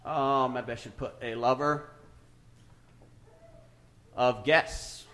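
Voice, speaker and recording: A man's voice: medium pitch, steady tone, slow pace, fairly bright tone, a little hiss or hum. A man preaching an informal Bible teaching sermon in a church, conversational and hesitant, thinking aloud with many fillers.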